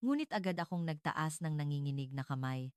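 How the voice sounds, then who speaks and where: Clear-voiced woman narrator, fairly low and dry. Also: expressive, fairly quick, no room sound. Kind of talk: dramatic first-person scripted story narration.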